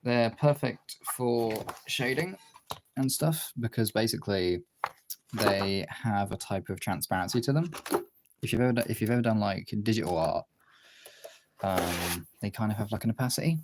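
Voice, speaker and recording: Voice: medium pitch, moderate pace, noisy background. A livestream host with a congested, tired voice, chatting casually and informally to viewers while drawing, rambling and swearing now and then.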